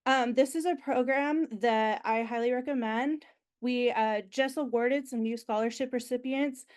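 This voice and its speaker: A young woman with a slightly higher voice talks through an orientation presentation, chatty and enthusiastic. Her voice sounds nasal as she gets over an illness, and she fills gaps with ums and likes. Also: unhurried, darkish tone. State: recovering from being sick. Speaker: university alumni relations staff presenter.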